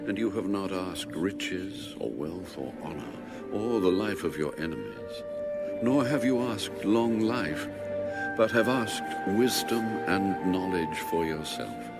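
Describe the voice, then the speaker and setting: A majestic divine ruler in an audio Bible drama. The voice is very low and unhurried, solemn and full of authority. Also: male voice, lively intonation, over loud music, heavily acted. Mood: solemn, granting.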